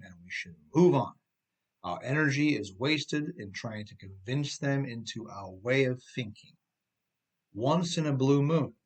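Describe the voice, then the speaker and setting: Middle-aged man reading slowly and conversationally. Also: medium pitch. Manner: rhetorical questions, folksy direct address to listener. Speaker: author reading own essay aloud. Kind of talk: scripted narration, conversational opinion essay.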